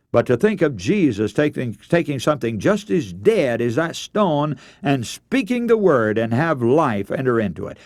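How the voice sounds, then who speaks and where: A man's voice: medium pitch, no echo, studio quality. A man preaching a radio sermon, earnest and emphatic, telling stories and posing rhetorical questions.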